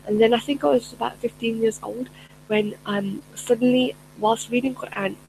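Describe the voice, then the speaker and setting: A middle-aged woman whose first language is Urdu speaks earnestly and casually to her viewers as a personal development coach. She often pauses on fillers and restarts her sentences, with a faint hum in the background. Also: on the high side, steady tone, gravelly, a little mellow, poor audio. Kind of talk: casual spoken talk to camera.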